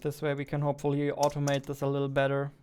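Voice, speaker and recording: A man in his twenties, a game developer, casually thinking aloud in a halting mutter as he works at his desk with a headset on. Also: medium pitch, monotone, darkish tone, smooth. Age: 20s. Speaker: game developer, host of a devlog. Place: indoors at a desk.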